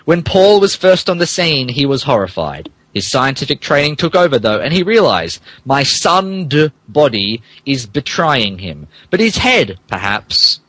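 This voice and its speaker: Brief: male comedic fan fiction narrator, animated delivery, fumbled words and asides, dry sound. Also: mid-range voice, a little slow, hardly any pauses, no room sound, poor audio. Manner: stumbles over words, mock-dramatic asides. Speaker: podcast host reading as narrator.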